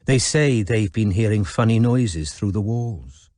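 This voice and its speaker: A man voicing an affectionate, cajoling Welsh woman with a deep voice in an audiobook. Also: measured pace, mellow, no room sound, studio quality, close to an everyday voice. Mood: coaxing. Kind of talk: audiobook character dialogue.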